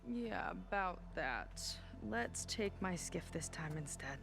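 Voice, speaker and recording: Young soldier woman in a video game, brisk, wry, guarded, music playing behind. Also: lowish voice, animated, vocal fry, exaggerated voice. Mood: frustrated, stoic. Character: proud, guarded young woman marine scout seeking her sister. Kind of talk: video game cutscene acting.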